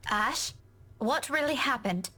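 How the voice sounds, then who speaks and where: An actress playing an anxious, caring young schoolteacher in a visual novel game, fretful and insistent, quick to talk back. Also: female voice, high-pitched, lively intonation, sharp tone, heavily acted. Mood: concerned, challenging. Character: anxious, caring young female schoolteacher, fussing over friends.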